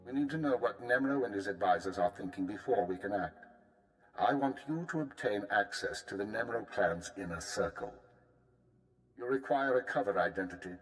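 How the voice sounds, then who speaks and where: An actor playing a male intelligence handler briefing a field agent in a crisp, authoritative way. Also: fairly low pitch, lively intonation, normal speed, soft background music, natural voice, poor audio. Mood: commanding. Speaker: spymaster giving orders. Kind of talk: video game voice acting.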